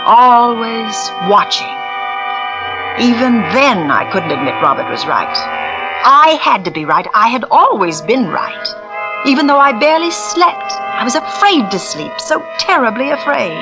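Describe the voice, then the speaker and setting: A woman's voice: on the high side, sing-song, measured pace, poor audio. An actress playing a proud, scheming spiritualist woman in a 1940s radio drama, talking in an intense, theatrical way. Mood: brooding. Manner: intense, theatrical, rising to screaming hysteria. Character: scheming woman medium, proud, cornered into terror. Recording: vintage radio recording with music.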